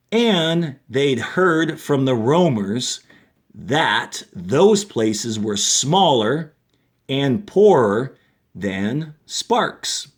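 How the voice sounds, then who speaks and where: A man, a fourth grade teacher, reading a story aloud to a class in a deliberate, instructional manner. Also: fairly high pitch. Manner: deliberate, instructional, clearly announcing paragraphs and quotes. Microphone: handheld camera held while reading.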